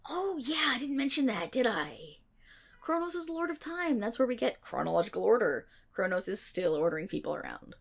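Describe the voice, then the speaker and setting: Woman playing a nerdy, lively, rambling best friend. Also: fairly high pitch, brisk, gravelly, heavily acted. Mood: wry, playful. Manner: rambling, wry, sarcastic, theatrical asides. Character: nerdy female best friend, chatty, irreverent, playfully exasperated. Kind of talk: ASMR roleplay, casual storytelling to a listener.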